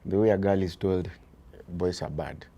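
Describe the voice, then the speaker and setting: A man with a Kenyan accent and a very deep voice, talking earnestly as an interview guest about raising his sons, often dropping "you see" and shifting into Swahili. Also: fairly even intonation, moderate pace, dark tone. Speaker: guest, father of boys. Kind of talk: reflective conversational interview.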